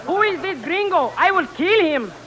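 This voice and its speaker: A man's voice: very high-pitched, animated, normal speed, crowd noise. A man playing a boastful, dim young Mexican suitor with a mock Mexican accent and broken English in a 1940s radio comedy. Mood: boastful, threatening. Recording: crackly old radio recording.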